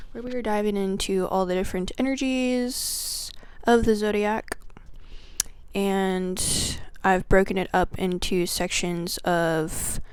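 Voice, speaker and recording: A woman teaching the zodiac signs in a spiritual video that opens with a prayer. She speaks reverently, draws her phrases out, drops into soft whispers and lists qualities one word at a time. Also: mid-range voice, clear, resonant tone, dry recording. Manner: slow, drawn-out delivery with soft whispered phrases. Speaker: astrology teacher.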